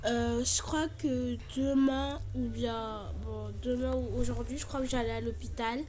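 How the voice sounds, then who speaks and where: Congested young French woman, halting speech. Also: fairly high pitch, fairly even intonation, darkish tone. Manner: halting, with "euh" fillers. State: congested with flu. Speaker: vlogger. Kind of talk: casual room tour vlog.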